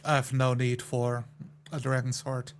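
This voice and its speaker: A man at a tabletop game describes his character's moves very slowly, in short deliberate phrases. His pitch stays flat and medium, giving the action a measured drama.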